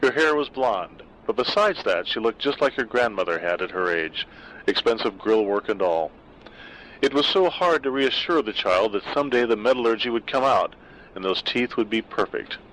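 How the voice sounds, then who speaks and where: A man reading a dark short story aloud in a measured, wry way. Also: lowish voice, animated, slight background noise, rough recording. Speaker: narrator. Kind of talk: scripted story narration.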